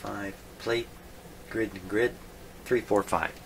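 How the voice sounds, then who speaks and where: A man with a deep voice talks slowly through a hands-on demonstration in a workshop. He mutters to himself and pauses often, thinking aloud. Steady noise sits clearly behind him. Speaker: host of a radio repair video. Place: radio repair workshop.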